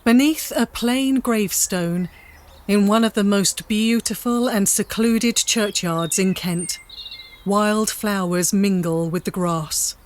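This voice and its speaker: A woman giving measured, literary narration for a dramatised story. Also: mid-range voice.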